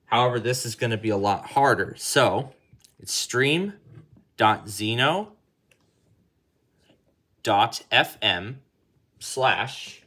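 A man's voice: mid-range voice, expressive, takes it slowly, pauses often, bright, forceful tone. A man giving a casual hands-on tutorial as its host, thinking aloud as he works through the steps indoors. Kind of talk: casual hands-on app demonstration.

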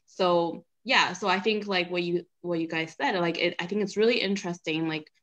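A woman's voice: lowish voice, normal speed, dull audio. Chatty young woman from China on a video call. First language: Mandarin. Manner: chatty, laughs often, animated. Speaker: panelist. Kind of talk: casual storytelling on a panel.

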